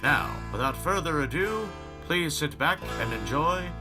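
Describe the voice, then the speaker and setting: A man's voice: a little high, sing-song, measured pace, over music. A man hosting a radio drama broadcast with formal, scripted showman-like announcements. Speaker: announcer, host.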